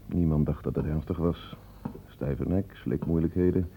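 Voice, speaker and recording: An actor playing a matter-of-fact, world-weary hospital doctor, reporting tersely in a radio play. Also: male voice, extremely low pitch, moderate pace. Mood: resigned. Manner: colloquial, terse clinical reporting. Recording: tinny, noisy old radio play recording.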